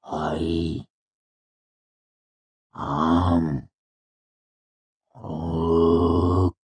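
Man acting a slow, thick-voiced dazed mercenary. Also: low voice, level tone, darkish tone, breathy edge, heavily acted. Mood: dazed, vacant. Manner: slow, thick, halting speech. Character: slow, vague, dazed mercenary, not human, stupefied.

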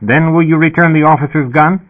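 Man doing a sputtering high intercom dispatcher. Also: fairly even intonation, a little fast, close to an everyday voice. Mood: exasperated. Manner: sputtering, exasperated. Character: fussy male police dispatcher over intercom. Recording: tinny phone-like sound.

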